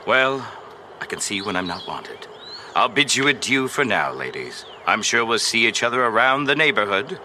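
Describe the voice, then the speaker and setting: A man acts out an oily, pompous house buyer for an audio drama, forceful and sharp in tone. His pitch swings theatrically as he insists with old-fashioned courtesy, over faint background noise. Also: sing-song, normal speed, full character voice. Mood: stiffly courteous.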